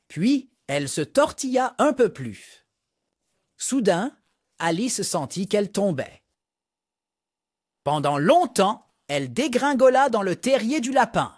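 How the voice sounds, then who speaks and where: A man narrating a scripted storybook for young children in an expressive read-aloud manner. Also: high-pitched, slow, long pauses, no room sound, clean studio sound.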